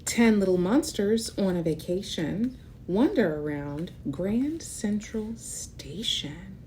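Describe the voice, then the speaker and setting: A woman's voice: mid-range voice, animated, slow pace, darkish tone, a little hiss or hum. A woman reading a picture book aloud to young children, expressively, with casual asides that explain and point things out. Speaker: children's read-aloud host.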